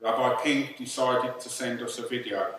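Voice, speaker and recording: A man's voice: mid-range voice, steady tone, normal speed, a bit bright. Echoey, distant man introducing a service. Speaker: host of an online memorial service. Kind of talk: formal scripted introduction. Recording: very echoey, distant mic, faint noise.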